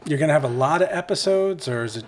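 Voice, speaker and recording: A man's voice: fairly high pitch, lively intonation, fast, a little hiss or hum. A man hosting a podcast interview, putting questions to his guests in an informal, slightly tentative way. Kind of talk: casual interview, relaying questions.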